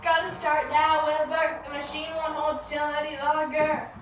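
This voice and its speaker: Amateur radio play: earnest young aviator-inventor, woman performer, high-pitched voice, heavily reverberant, noisy recording. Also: monotone, moderate pace, natural voice. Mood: eager, busy. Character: Orville Wright, eager young male inventor, excited first flyer. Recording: echoey room, distant mic, noisy hiss.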